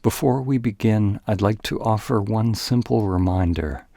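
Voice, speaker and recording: A man narrating a guided meditation in a calm, gentle, deliberate way, leaving pauses between phrases. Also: fairly low pitch, vocal fry, no echo, studio quality.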